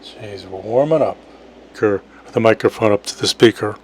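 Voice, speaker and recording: A man's voice: on the low side, normal speed, a little mellow. A man giving a casual, enthusiastic product demonstration in short plain phrases, as an amateur radio operator. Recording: noisy, radio static and hum.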